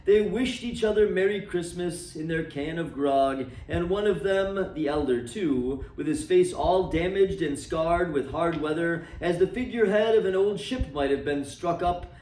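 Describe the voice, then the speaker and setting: A man reading a classic novel aloud for a library, dramatic and expressive, letting his voice sink low and soft at the ends of phrases. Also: roomy sound, recorded from a distance, low-quality recording.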